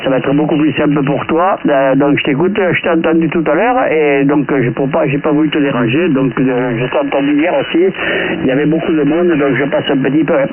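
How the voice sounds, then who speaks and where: Narrowband ham radio with faint noise: male, colloquial rambler running the exchange. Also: a little high. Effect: radio transmission. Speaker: amateur radio operator leading the group. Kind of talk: casual radio contact chat.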